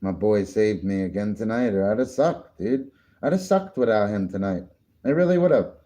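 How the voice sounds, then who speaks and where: A man's voice: on the low side, lively intonation, mellow. A tired man chatting loosely on a late-night livestream, drinking and smoking as he rambles. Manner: rambling, slow and drawn-out, drinking and smoking on stream.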